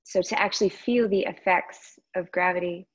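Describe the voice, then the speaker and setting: A woman teaching yoga meditation, speaking gently and unhurriedly in short phrases with long pauses between them. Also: lowish voice, fairly even intonation, slightly rough, highs cut off. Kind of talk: guided meditation instruction.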